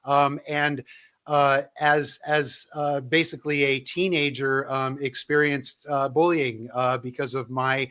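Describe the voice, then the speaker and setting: A man's voice: mid-range voice, level tone, a little slow, sharp tone, clear, resonant tone. A man in his fifties who grew up in Southern California, a guest historian answering questions with lots of fillers. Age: 50s. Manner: hesitant, with many fillers. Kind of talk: conversational interview answers.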